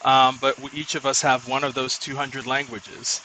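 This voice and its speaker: A man's voice: mid-range voice, level tone, normal speed, pushed and bright, slight background noise, low-quality recording. A young man from Nigeria, chatting casually as he cooks in his home kitchen and explains each step. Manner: chatty, explains while working. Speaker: home cook. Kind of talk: casual cooking video.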